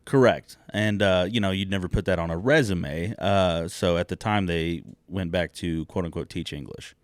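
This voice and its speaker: A man with a notably low voice, raised in China, speaks as a podcast guest. He is measured and reflective, pausing on fillers and restarting his phrases. The sound is close and dry, with no room around it. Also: no echo. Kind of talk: reflective personal storytelling.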